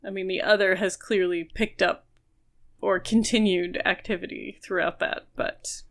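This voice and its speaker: A woman speaks as a podcast co-host in a casual book discussion. Her voice sits at a middle pitch with noticeable fry, and she weighs and qualifies what she says. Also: fairly even intonation, normal speed.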